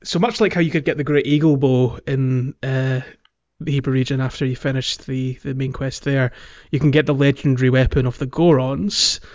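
Slightly muffled higher-voiced man chatting. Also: steady tone, normal speed, hardly any pauses, darkish tone. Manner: jokey, prone to chuckling. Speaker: podcast host. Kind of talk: casual gaming podcast chat.

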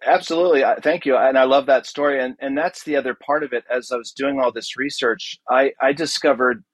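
A man's voice: medium pitch, fairly even intonation, a little fast, dry recording. A man talking casually as a podcast guest, telling stories about his audio drama work. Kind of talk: casual interview, animated storytelling.

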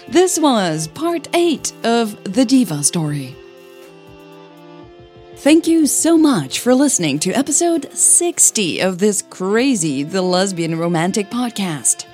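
A woman with a soft, breathy voice, a podcast host who narrates her own audio fiction, over music. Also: medium pitch, big pitch swings, takes it slowly. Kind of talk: scripted story narration with casual host outro. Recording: music bed under the voice.

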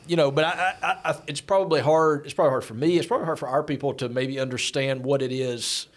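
A man's voice: fairly high pitch, quick pace. A man hosting a church podcast interview, encouraging and affirming his guests.

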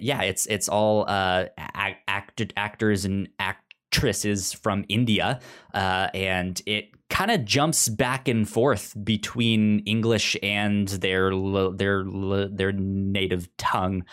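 A man hosting a podcast in casual review chat, speaking haltingly and often repeating syllables and words. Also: lowish voice, a bit bright. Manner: halting, frequent stutter-like repetition of syllables and words. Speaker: podcast host.

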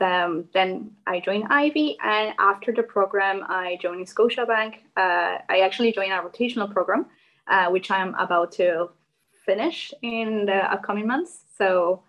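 A woman's voice: medium pitch, normal speed, lo-fi sound. A woman in her thirties from Peru, speaking English as a second language after Spanish. She talks in a friendly, casual way over a video call, with hesitant fillers. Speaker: alumni guest. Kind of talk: casual chat.

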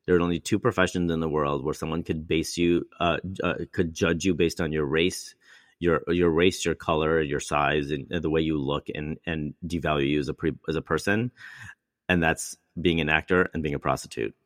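A man's voice: rumbling low, fairly even intonation, normal speed, dry recording, clean studio sound. A male actor telling long, rambling stories in casual chat, often restarting and quoting himself. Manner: rambling, many restarts and quoted asides.

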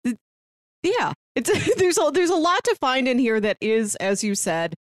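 A woman's voice: sits high, animated, unhurried, no echo, studio quality. A woman co-hosting a podcast, giving articulate, opinionated takes in a casual film review chat. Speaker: podcast co-host.

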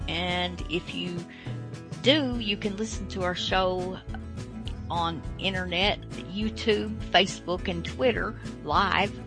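A woman hosting a radio show casually, folksy, halting and rambling. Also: fairly low pitch, over music. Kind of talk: casual unscripted show introduction.

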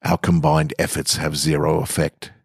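Male podcast storyteller, low-pitched voice, close dry sound, relaxed literary reading. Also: normal speed, gravelly, slightly rough, dry recording. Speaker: podcast host, author and reader. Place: garage, indoors.

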